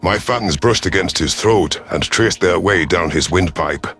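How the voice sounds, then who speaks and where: Male narrator, low-pitched, for dramatic first-person sci-fi storytelling over faint music. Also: unhurried, creaky, fairly bright tone. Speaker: audiobook narrator.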